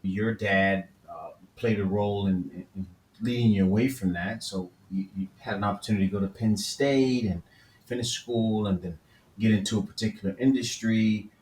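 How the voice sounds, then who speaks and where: A man's voice: lowish voice, moderate pace, a little mellow. A man from Florida hosting a podcast interview, asking thoughtful questions and often restarting his sentences. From Florida, USA. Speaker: podcast host. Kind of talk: conversational interview. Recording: echoey room with faint background noise.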